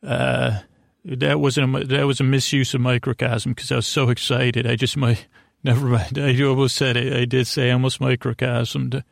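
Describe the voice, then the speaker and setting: A man with a creaky voice hosting a podcast in a soothing, long-winded bedtime monologue that meanders and interrupts itself. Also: mid-range voice, level tone, moderate pace, dry recording, studio quality. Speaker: podcast host.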